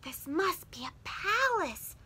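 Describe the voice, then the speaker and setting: A woman voicing a small, earnest toy bear in a children's storybook, with a soft, wide-eyed, wondering delivery. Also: extremely high pitch, very animated, slow, voice pushed up, heavily acted. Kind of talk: children's storybook read-aloud.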